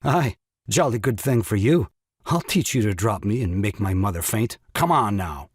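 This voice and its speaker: A man playing an excitable, scheming nephew in a stage farce, with a theatrical, sarcastic delivery. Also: fairly low pitch, normal speed, husky, dry recording, studio quality. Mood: coaxing. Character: excitable, scheming nephew and new father, indignant. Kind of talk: stage farce audio drama acting.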